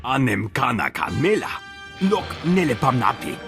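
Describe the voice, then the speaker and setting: Gravelly, animated alien crime thug for a sci-fi game, guttural and blustering, over music. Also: male voice, medium pitch, normal speed. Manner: speaks an invented alien tongue. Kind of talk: video game voice acting. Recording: music under the voice.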